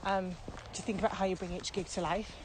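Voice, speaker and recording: A woman's voice: mid-range voice, steady tone, quick pace, poor audio. A middle-aged woman in a casual explanatory podcast chat, talking through things step by step in an emphatic, conversational way. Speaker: podcast co-host and experienced trainer. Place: outdoors, walking. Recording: outdoor walking recording, clear background noise.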